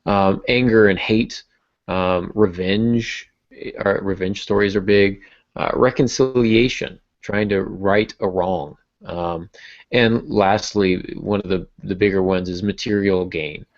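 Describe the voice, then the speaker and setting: A man's voice: low-pitched, fairly even intonation, a little slow. A man hosting a podcast, explaining things casually like a teacher talking to writers. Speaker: podcast host and writing teacher. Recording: dull, muffled lo-fi audio.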